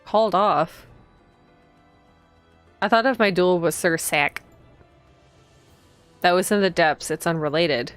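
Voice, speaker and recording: A woman's voice: fairly low pitch, slow, pauses often, soft background music. A woman reading visual novel text aloud as a streamer, expressively, with casual reactions to the story.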